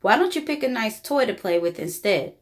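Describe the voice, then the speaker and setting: A woman voicing a practical father in a storybook who gently reasons and coaxes. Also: fairly high pitch, expressive, normal speed, lightly acted. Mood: coaxing.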